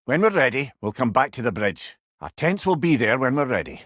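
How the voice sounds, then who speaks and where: A man playing a wise, witty older companion in a video game, with a Scottish accent and a wry, avuncular, expressive manner. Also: mid-range voice, brisk, no room sound, studio quality. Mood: guiding, calm. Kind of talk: video game character dialogue.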